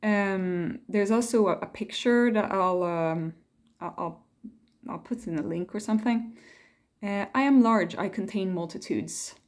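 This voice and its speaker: A woman's voice: medium pitch, a little slow, darkish tone. A woman vlogger talking casually and reflectively in a hesitant, rambling way, reading quotes aloud between her own thoughts.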